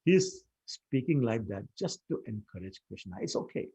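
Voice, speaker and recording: Man as gentle father, calm, soothing, husky. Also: on the low side, moderate pace, full character voice.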